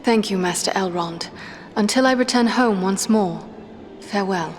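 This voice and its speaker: A woman's voice: medium pitch, moderate pace, a little hiss or hum, lightly acted. A woman playing an earnest, dignified elf adventurer in a fantasy game, speaking in a formal, composed way. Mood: resolute, courteous. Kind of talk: fantasy game dialogue acting.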